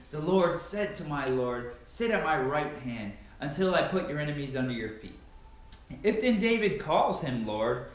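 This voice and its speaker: A man giving a solemn, declamatory dramatic reading of scripture as an amateur reader. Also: a little high. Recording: very echoey, reverberant room.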